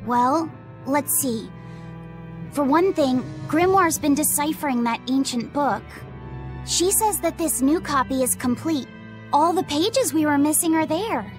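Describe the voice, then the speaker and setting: A high, youthful voice for a gentle boy spirit. He is earnest, shy and caring, in game cutscene style, with clear music playing behind him. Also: expressive, a little slow. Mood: concerned. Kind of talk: English game voice acting.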